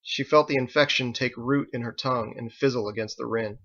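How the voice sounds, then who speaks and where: A man's voice: medium pitch, steady tone, fairly quick, pushed and bright, dull audio. A man reading scripted audiobook narration as the story's narrator. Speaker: author narrating his own story.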